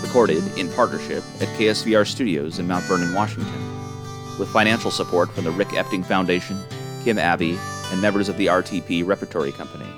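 A man's voice: low-pitched, fast talker. A man announcing scripted radio drama credits. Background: plucked guitar music.

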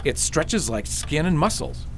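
Man playing an animated, earnest woodland father. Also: fairly high pitch, measured pace, faint music behind. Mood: matter-of-fact. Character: practical, protective father, tin merchant in enchanted woods. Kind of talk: radio fairy-tale acting.

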